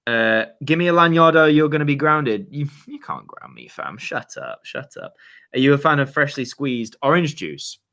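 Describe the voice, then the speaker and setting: Twenties lad, slightly hoarse, relaxed chatty channel host working through viewer questions. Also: male voice, medium pitch, moderate pace. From Yorkshire, England. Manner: chatty, laid-back, lots of fillers and slang. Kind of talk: casual livestream chat, reading viewer questions.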